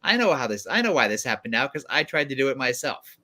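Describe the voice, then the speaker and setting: Bright-voiced man hosting a casual panel chat. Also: mid-range voice, big pitch swings, quick pace. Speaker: guest host of a livestream show.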